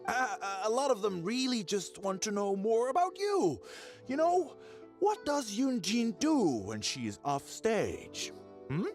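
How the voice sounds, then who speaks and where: A prying tabloid reporter from a video game. The delivery is theatrical and insinuating, full of hemming, and the pitch leaps around dramatically. Soft music plays behind the voice. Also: male voice, wildly swooping pitch, measured pace, heavily acted. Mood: condescending, hesitant. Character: pushy, prying tabloid reporter interviewing an opera star.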